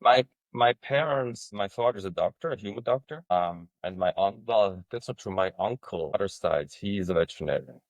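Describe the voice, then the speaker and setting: A man from Switzerland recounts his path in a plain, matter-of-fact way. His voice is very low, and the recording sounds dry and close. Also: lively intonation, normal speed, few pauses, no room sound. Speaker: guest, veterinary dermatologist. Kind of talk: casual interview conversation.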